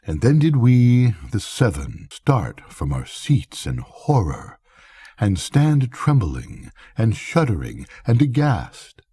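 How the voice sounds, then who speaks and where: An older man reading a gothic bedtime story aloud in a solemn, measured, theatrical manner. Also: mid-range voice, wildly swooping pitch, dark tone, breathy edge. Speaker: storybook reader.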